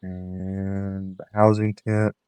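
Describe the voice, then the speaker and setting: A man giving relaxed, rambling commentary on a game he plays, with a slightly nasal voice because he is coming down with a cold. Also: low-pitched, drawn-out words, clean tone, no echo. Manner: relaxed, rambling, gives tips while playing. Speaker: gameplay commentator. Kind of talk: casual let's-play commentary.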